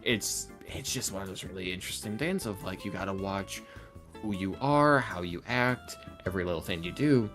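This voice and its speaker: A man who makes roleplay videos, talking casually as a podcast guest and acting out quotes as he tells stories in an animated way. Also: sits low, moderate pace, over music. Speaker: interview guest, ASMR roleplay video creator.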